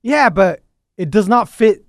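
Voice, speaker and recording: A young man with a deep voice, co-hosting a casual chat, joking and telling stories. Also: animated, measured pace, no echo, studio quality. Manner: joking, storytelling, teasing. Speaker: podcast co-host.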